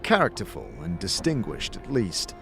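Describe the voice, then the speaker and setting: A man narrating a dramatic fantasy story in the second person, with a theatrical, expressive delivery. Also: on the low side, normal speed, creaky. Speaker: narrator and game master voice. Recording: music behind the voice. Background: music.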